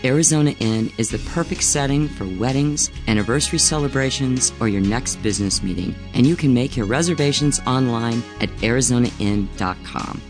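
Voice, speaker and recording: A man's voice: medium pitch, brisk, few pauses. Male voice-over, upscale ad read, plucked guitar underneath. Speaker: announcer. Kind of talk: scripted radio ad.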